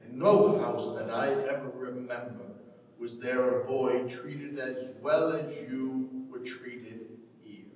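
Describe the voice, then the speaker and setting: A man's voice: medium pitch, steady tone, a little mellow, lightly acted. An actor playing a stern, curt old farmer and father in a staged play reading. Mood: guarded, proud. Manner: deliberate, curt, authoritative. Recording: echoey hall, distant microphone.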